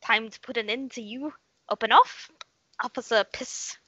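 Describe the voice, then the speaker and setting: Woman putting on a high, sharp-voiced nasty leprechaun. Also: moderate pace, no room sound, lo-fi sound. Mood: menacing. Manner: taunting, exasperated. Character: foul-mouthed, mocking, menacing rhyming leprechaun villain.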